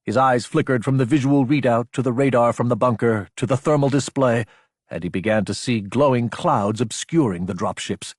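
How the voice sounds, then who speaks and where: A man reading a dramatic audiobook narration in a measured, deliberate storytelling manner. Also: mid-range voice, darkish tone, no room sound, clean studio sound.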